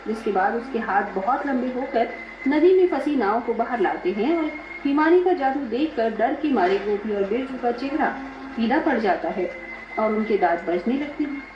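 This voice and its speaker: A woman's voice: a little high, noisy background. A woman narrating a scripted dramatic story. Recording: echoey, dull, music behind.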